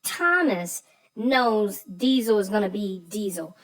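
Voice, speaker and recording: A woman's voice: medium pitch, expressive, slow pace, poor audio. A woman co-host chatting casually about a children's story in an agreeable, emphatic manner.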